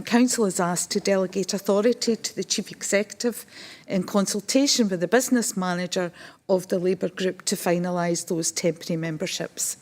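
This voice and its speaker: Loud, businesslike Scottish woman presenting. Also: mid-range voice. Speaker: council officer presenting a report. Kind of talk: formal report presentation. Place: council chamber.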